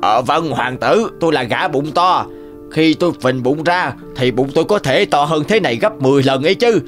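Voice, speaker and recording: A man as eager, higher-voiced fairy-tale sidekick. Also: expressive, moderate pace, faint music behind, lightly acted. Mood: good-natured, eager. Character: odd loyal fairy-tale servants with strange powers, good-natured, eager. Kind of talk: animated fairy-tale dubbing.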